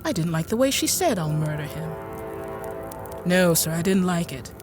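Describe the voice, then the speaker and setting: A woman's voice: lowish voice, animated, normal speed, music in the background, natural voice. An actress playing a prim, genteel woman in an audio drama, confiding her story in a hesitant, rambling, over-polite way. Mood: confiding, fussy. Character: prim, fussy, genteel woman recounting a dark tale. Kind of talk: audio drama acting, confiding first-person narration.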